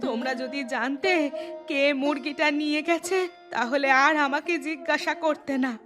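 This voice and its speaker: High-pitched sharp-tongued villager. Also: female voice, normal speed, faint music behind. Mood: defensive, annoyed.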